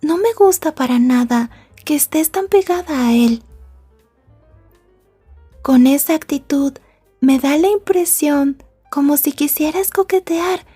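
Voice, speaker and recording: A woman playing a possessive, polite young yandere girlfriend in an ASMR roleplay, speaking in a prim, wordy and softly menacing way. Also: sits high. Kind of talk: Spanish-language ASMR roleplay acting.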